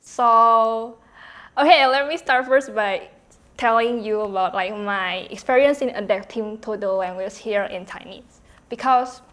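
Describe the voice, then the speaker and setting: A woman's voice: a little high, measured pace, a bit bright. A young woman whose first language is Indonesian, chatting casually on a student panel and often saying "like". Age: young adult. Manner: chatty, fills speech with "like".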